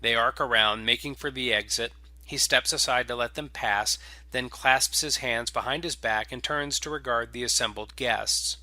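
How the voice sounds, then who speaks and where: A man reading scripted space opera fiction as a dramatic, deliberate narrator. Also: mid-range voice, sharp tone, no room sound.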